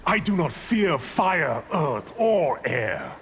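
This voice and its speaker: A scornful, scheming sorcerer villain from a video game. His theatrical voice swings dramatically in pitch and moves slowly and deliberately, ringing in a long hall reverb. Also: sing-song, fairly bright tone, slightly rough, heavily acted. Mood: haughty scheming. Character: scheming male evil sorcerer, arrogant and scornful.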